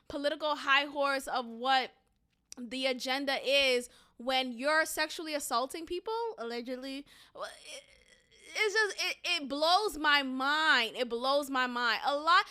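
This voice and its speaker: A high-voiced young woman with a Boston accent, hosting her own podcast. Her tone is bright and sharp, and she delivers her views with sarcasm and heavy emphasis. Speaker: podcast host. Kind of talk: solo opinion commentary podcast.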